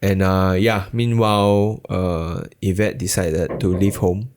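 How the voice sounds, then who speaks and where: A young Singaporean man hosting a casual podcast, low-key and self-mocking, with lots of fillers. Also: fairly even intonation, slow pace, darkish tone, a little hiss or hum. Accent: Singaporean English. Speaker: podcast host. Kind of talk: casual chat.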